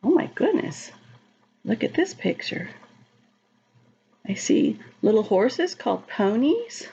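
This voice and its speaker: A woman reading a picture book aloud to children in a teacherly, expressive, sing-song way, explaining and asking questions as she goes. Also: on the high side, takes it slowly, vocal fry, breathy edge, highs cut off. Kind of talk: read-aloud storytime with asides to children.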